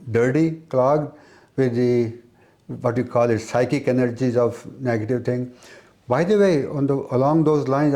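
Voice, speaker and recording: A man giving an explanatory spiritual talk as an interviewed teacher, with halting, repetitive phrasing. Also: mid-range voice, mellow.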